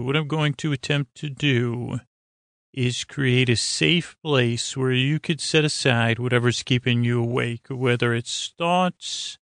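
A man with a middling-pitched, creaky voice hosts a sleep podcast in a very dry space. He meanders through his bedtime monologue, stammering, doubling back and losing his thread, and keeps a gently reassuring manner throughout. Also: no echo. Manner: stammering, repeats and trails off mid-thought.

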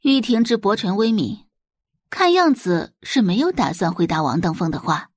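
Expressive female story narrator, lively intonation. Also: mid-range voice, moderate pace, breathy edge, no echo, studio quality. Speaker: audiobook narrator. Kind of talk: scripted dramatic story narration.

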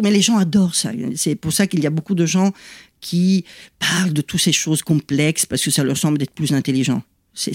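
A middle-aged Italian woman talks in French with a clear Italian accent, since it is not her first language. She explains in a lively, animated way, breaking off to restart and checking in with her listener. The room sound is dry, with no echo. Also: low voice. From Italy. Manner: animated and explanatory, frequent "tu vois" asides and restarts. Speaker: guest, lithotherapist. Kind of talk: conversational interview explanation.

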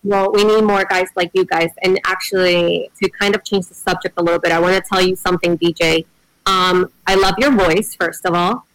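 A woman's voice: fairly low pitch, steady tone, a little fast, a bit bright, dry recording, rough recording. A woman talking casually about her podcast over a remote call. She is chatty and searches for words with frequent ums. The sound is dry and close. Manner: chatty, hesitant with many ums. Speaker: podcast co-host, guest. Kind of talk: casual remote interview chat. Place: Miami area, remote call.

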